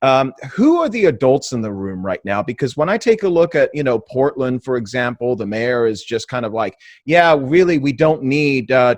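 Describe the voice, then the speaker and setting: A man's voice: mid-range voice, no room sound. Man from England, podcast host, rambling questions, dry close sound. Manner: conversational, rambling questions with fillers. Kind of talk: political podcast interview.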